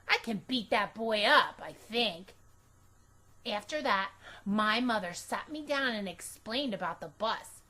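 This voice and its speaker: A woman reading a children's book aloud as a storytime reader, expressive and deliberate, telling it in a childlike first person. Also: on the high side.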